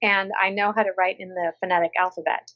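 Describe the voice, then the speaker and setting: A woman who grew up in Minnesota talks casually about her narration work, her voice animated and often dropping into the voices of people she quotes. The sound is a little dull and lo-fi, as from a remote call. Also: medium pitch, fast. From Minnesota, USA. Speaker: audiobook narrator. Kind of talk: casual interview chat.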